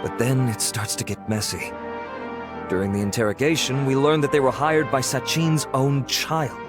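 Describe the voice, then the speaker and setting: Man playing a measured, composed investigating officer. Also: medium pitch, fairly even intonation, music in the background, natural voice. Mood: composed, wry. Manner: measured, dry, matter-of-fact.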